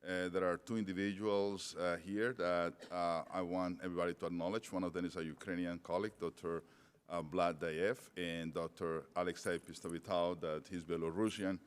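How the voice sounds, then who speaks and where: Middle-aged male speaker with a non-native accent: deep, halting conference talk, in a reverberant hall. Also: fairly even intonation, clean tone. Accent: non-native English. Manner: hesitant, many fillers and restarts. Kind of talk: conference presentation.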